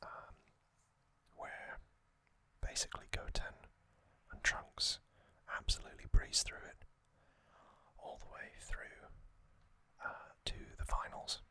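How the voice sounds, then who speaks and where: A man hosting an ASMR video, whispering a soft, hesitant recap and correcting himself now and then. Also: takes it slowly, no echo. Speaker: ASMR host.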